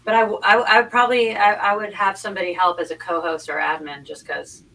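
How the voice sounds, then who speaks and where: Bright-voiced woman, a little off the mic. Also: mid-range voice, fairly even intonation, quick pace. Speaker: livestream panel guest. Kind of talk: casual livestream chat. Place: online group video call. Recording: distant mic with faint background noise.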